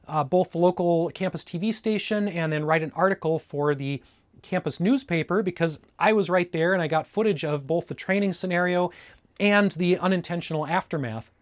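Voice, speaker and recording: A middle-aged man from Alaska with an American accent, talking casually and informatively as a hobbyist video host in a conversational, explanatory way. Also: high voice. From Alaska, United States. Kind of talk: casual informative vlog talk.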